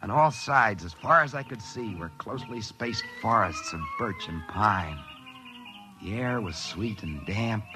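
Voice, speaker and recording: An American actor playing an excitable, work-shy schemer who stammers when flustered, in mid-century radio drama style. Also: male voice, on the low side, measured pace. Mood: smug, musing. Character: work-shy scheming dreamer who finds a wishing machine. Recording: vintage radio recording with faint music.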